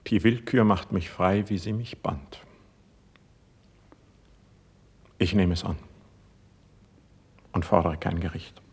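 Husky, low-voiced proud poet. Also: male voice, heavily acted. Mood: guarded, grave. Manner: formal, measured declamation. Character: proud, wounded, sensitive male court poet, stubborn. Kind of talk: classical German stage verse in a radio play.

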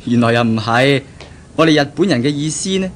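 An actor playing a pragmatic young graduate and fiancé in a 1970s Cantonese radio drama, explaining things earnestly and persuasively. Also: male voice, mid-range voice, animated, fairly quick, slight background noise, lightly acted. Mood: light, chatty. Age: young adult.

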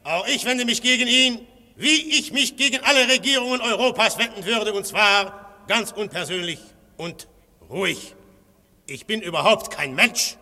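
A scornful young firebrand in a radio drama, pitched high and ringing bright. He speaks with cool, mocking confidence. The hall behind him rings with a long reverb. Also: moderate pace, full character voice. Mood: rousing. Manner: cool, cutting, mocking oratory. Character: Syme, young undercover detective posing as a fierce anarchist. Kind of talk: radio play acting.

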